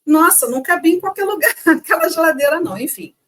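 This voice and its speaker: A middle-aged woman from Brazil co-hosting a casual chat, telling childhood stories in an animated way and laughing easily. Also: very high-pitched, fast talker. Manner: lively storyteller, laughs easily. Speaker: co-host.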